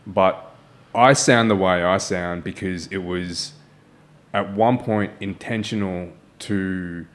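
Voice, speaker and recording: A man's voice: sits low, fairly even intonation, slow. A man, hosting an interview in an earnest, encouraging way and often adding "right?". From Malaysia. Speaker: podcast host. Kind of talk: casual interview chat.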